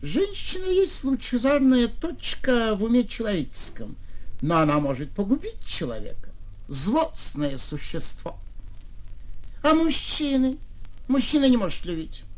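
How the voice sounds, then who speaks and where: Man playing a posturing young suitor, high swooping voice. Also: wildly swooping pitch, a little slow, full character voice. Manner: pompous, mannered, philosophizing. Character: affected young clerk of twenty-three, posturing suitor.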